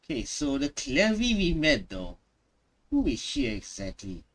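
A man's voice: medium pitch, huge pitch swings, a little slow, a bit bright. A man streaming a video game, reacting casually and reading dialogue aloud.

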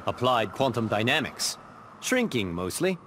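Very noisy game audio carries a modest young scientist superhero of middling pitch. He speaks politely and precisely, earnest and eager to learn. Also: very animated, a little slow. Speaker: voice actor. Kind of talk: video game pre-fight dialogue.

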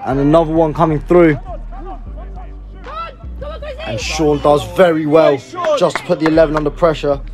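A man giving live amateur football play-by-play, excitable and prone to raising his voice at big moments. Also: a little high, normal speed, long pauses. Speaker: football match commentator. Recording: music and crowd noise behind, outdoors. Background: music and outdoor crowd sounds.

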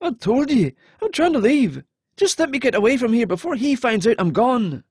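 A man's voice: extremely high pitch, big pitch swings, normal speed, a little mellow, breathy edge, no echo, clean studio sound, voice pushed up, heavily acted. A man voicing a frightened, remorseful male deserter in an audiobook, earnest and pleading. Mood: desperate.

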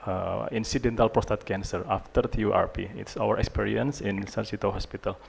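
A man in his thirties with an Indonesian accent, giving a conference lecture on a handheld mic in a large hall, halting and self-deprecating with many fillers. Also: fairly low pitch, steady tone, vocal fry, slight background noise. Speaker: guest lecturer, urologist.

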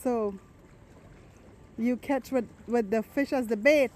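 A woman's voice: fairly high pitch, slow, a little mellow. A woman chatting casually and eagerly as she films a travel vlog, often breaking into exclamations. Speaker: travel vlogger. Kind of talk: casual chat while filming. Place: outdoors at a seaside beach and rocks. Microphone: handheld camera held by the speaker. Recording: outdoor noise, lapping water.

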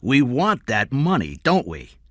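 A man's voice: medium pitch, wildly swooping pitch, a little slow, a bit bright, no echo, clean studio sound, pitched above the performer's own voice. A man voicing a violent, hard-hearted male kidnapper in an audiobook thriller, threatening and sneering. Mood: sneering menace.

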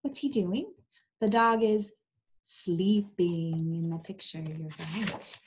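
A young woman reading a storybook aloud to small children in an animated, coaxing way, pausing to ask them questions. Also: medium pitch, noisy background. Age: young adult. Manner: animated, coaxing, exaggerated delivery for young listeners. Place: small indoor room.

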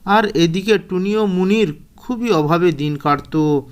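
Male narrator for a children's cartoon fable, notably high voice, scripted storybook read. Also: slow pace, smooth.

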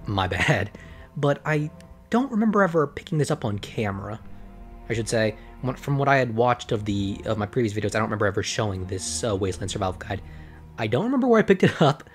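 A slightly hoarse man rambling through casual gameplay commentary, full of fillers and self-corrections. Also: on the low side. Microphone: desk microphone.

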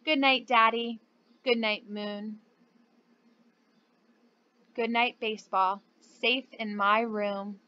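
Casting: young female children's teacher, high-pitched, unhurried delivery, encouraging manner. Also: steady tone, highs cut off. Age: young adult. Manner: encouraging, addresses young children simply and clearly. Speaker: preschool teacher. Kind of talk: children's lesson and storybook read-aloud.

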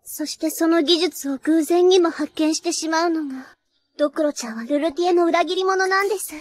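Very high-pitched, clumsy anime angel girl. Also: a little fast. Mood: grave, explaining. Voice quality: childlike, cutesy. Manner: earnest, over-polite quirky speech, easily flustered. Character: clumsy, polite young angel girl sent to kill. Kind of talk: Japanese anime character acting.

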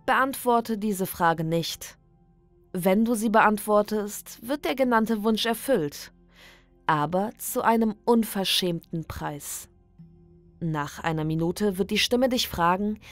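A woman reading a scripted creepy ritual guide as a horror narrator, in a measured, deliberate, instructional manner. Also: medium pitch, dry recording. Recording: dry studio voice over background music. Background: background music.

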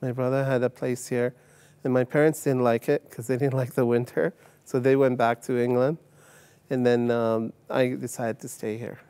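A man from Uganda in his fifties, telling his life story as a guest on a TV studio set, halting with many fillers and restarts. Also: mid-range voice, little pitch movement, mellow, clear, resonant tone, no echo. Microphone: lapel mic.

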